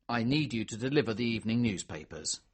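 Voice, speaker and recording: A woman narrator plays a weary middle-aged dad in an audiobook, very deep for her and husky. He sounds stern yet concerned, reproaching in a storybook scene with a swinging, expressive pitch. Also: quick pace, heavily acted. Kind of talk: audiobook character dialogue.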